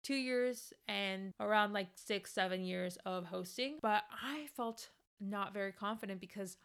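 A woman's voice: medium pitch, a little slow, fairly bright tone, smooth. A young woman, a native Spanish speaker with an accent, hosting her podcast and talking in an earnest, encouraging way with many fillers. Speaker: podcast host. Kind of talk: casual solo podcast talk.

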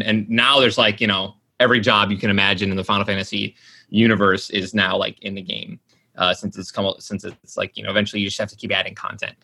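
A man's voice: on the low side, sharp tone. A man in his late twenties chatting casually, rambling with lots of fillers and restarts. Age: 20s. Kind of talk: casual chat.

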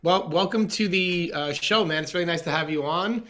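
A man's voice: high voice, moderate pace, fairly bright tone. A man hosting a podcast, chatting casually and asking questions in a rambling, conversational way. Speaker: podcast host.

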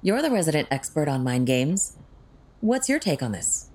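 A woman's voice: deep voice, sing-song, a little mellow. A woman playing a witty, sarcastic crime-fighting tech expert in an audio drama, with a wry manner. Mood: wry, teasing. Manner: wry, sarcastic, quick-witted.

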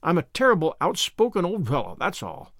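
Storybook role: brisk, outspoken old man, played by a male narrator, brusque yet kindly, very animated. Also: a little high, breathy edge, no echo, studio quality, exaggerated voice. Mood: blunt, kindly. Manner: brisk, blunt, folksy.

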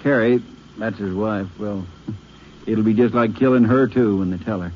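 An actor playing a kindly, folksy country sheriff with a gentle manner, in an old radio drama. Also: male voice, fairly low pitch, fairly even intonation, normal speed, slight background noise, lightly acted. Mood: somber. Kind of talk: 1950s radio drama acting. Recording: dull lo-fi old radio recording.

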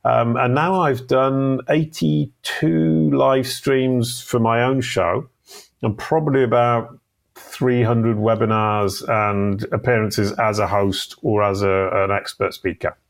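A man's voice: mid-range voice, fairly even intonation, takes it slowly, gravelly. A man speaking as a guest in a casual interview, self-deprecating and chatty, often restarting his sentences. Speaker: guest on a live video show.

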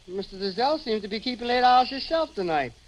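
A man's voice: extremely high pitch, expressive, quick pace. A man playing a simple, easily rattled manservant in a 1950 radio drama, speaking in folksy, ungrammatical phrases. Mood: easygoing. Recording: noisy vintage radio recording.

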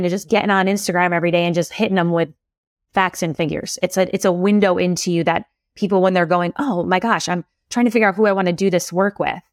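Chatty low-voiced woman, dry close sound. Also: dry recording. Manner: chatty, lots of "like" and "you know" fillers. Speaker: copywriter and storytelling coach, guest. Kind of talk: casual podcast conversation.

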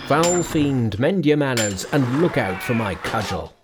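A man voicing a fierce disciple-priest who shouts scorn at a monster. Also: mid-range voice, very animated, a little slow, music in the background. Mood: defiant. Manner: loud, scornful challenging. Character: fierce young male disciple-priest with a cudgel.

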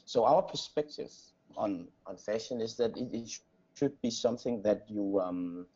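Halting, mellow Danish man, slightly dull recording. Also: lowish voice, animated, slightly rough. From Denmark. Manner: halting, repeats words while searching for phrasing. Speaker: interview guest, company founder. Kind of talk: casual interview answers.

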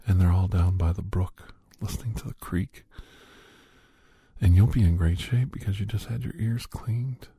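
A middle-aged man hosting a whispered ASMR roleplay, chatting softly and gently teasing the listener as a caregiver. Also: low voice, normal speed, breathy edge, no echo. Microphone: close microphone.

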